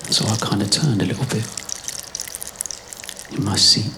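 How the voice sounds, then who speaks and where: A man's voice: lowish voice, measured pace, noisy background. A man performing a spoken-word monologue in a musing, conversational way, repeating his phrases. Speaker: performance artist, writer performing own piece. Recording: live club performance with music behind. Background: prominent music.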